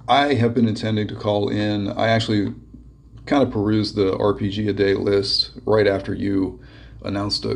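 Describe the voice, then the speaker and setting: A hoarse man fighting a cold talks his way through a casual message, stopping often and restarting. His voice sits low and comes out gravelly and husky. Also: darkish tone. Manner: rambling, hesitant, many ums and restarts. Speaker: caller sending in a contest entry.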